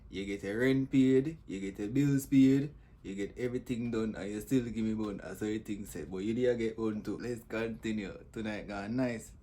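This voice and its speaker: A man's voice: on the low side, dark tone. A man with a Jamaican accent chatting casually to viewers as a host, in a teasing, informal patois. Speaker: host of a comedy compilation channel.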